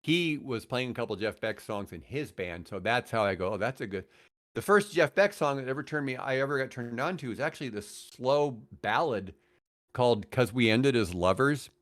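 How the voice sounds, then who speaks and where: A man's voice: mid-range voice, animated, moderate pace, a bit bright. Middle-aged American man swapping music stories. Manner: rambling, enthusiastic about music. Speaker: podcast guest, guitarist and radio host. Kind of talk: casual storytelling conversation.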